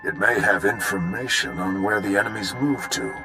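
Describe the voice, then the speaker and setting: An actor playing a gruff, confident intelligence chief in a video game, brisk and no-nonsense. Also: male voice, on the low side, close to an everyday voice. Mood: urgent, businesslike. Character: gruff, confident male intelligence chief giving mission briefings. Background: music.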